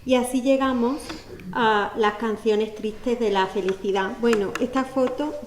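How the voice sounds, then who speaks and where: A woman's voice: fairly high pitch, steady tone, a little slow. A woman with an Andalusian Spanish accent presenting a poetry book in an explanatory, academic manner, with many fillers. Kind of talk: academic talk at a book launch. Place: lecture hall. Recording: echoey hall, faint noise.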